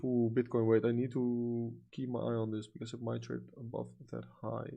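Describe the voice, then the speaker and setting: A man's voice: mid-range voice, completely flat delivery, moderate pace, creaky, muffled recording. A young man with a Dutch accent chatting casually on a livestream, answering comments with lots of "you know" and "like", blunt and sometimes profane. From Netherlands. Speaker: livestream trader.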